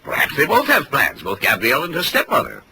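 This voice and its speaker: A very bright, forceful voice with a gravelly creak, a condescending literary author in a vintage radio play. He muses archly and swings expressively in pitch, over faint recording noise. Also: wildly swooping pitch, fast, heavily acted. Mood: arch, musing. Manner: arch, erudite, musing aloud. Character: pompous, theatrical male mystery author, inquisitive and condescending. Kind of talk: 1940s radio acting.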